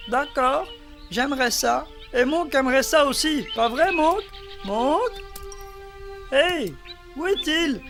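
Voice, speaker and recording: A woman's voice: sits high, animated, slow pace, long pauses, heavily acted. A woman voicing a kind, cheerful boy in a children's cartoon. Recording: cartoon with music underneath.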